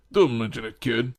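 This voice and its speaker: A man playing an excitable, chummy middle-aged man in an amateur audio drama. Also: mid-range voice, gravelly, full character voice. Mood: puzzled.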